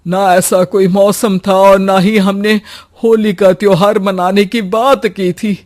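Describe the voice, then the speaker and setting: A woman's voice: medium pitch, fairly even intonation, measured pace, darkish tone, natural voice. A woman voicing worried, superstitious village elders in a cartoon story, emphatic and pleading.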